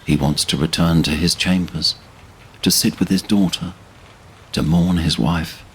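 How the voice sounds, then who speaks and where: A man narrates a fantasy audio drama with solemn gravity. His voice sits very low and moves slowly and deliberately. There is faint noise behind it. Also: fairly even intonation, poor audio.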